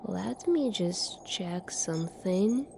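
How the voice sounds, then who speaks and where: A soft-spoken woman doing an ASMR roleplay as a calm military doctor, asking questions in a measured way. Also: lowish voice, gravelly, background noise. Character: calm seasoned future military doctor examining a space recruit.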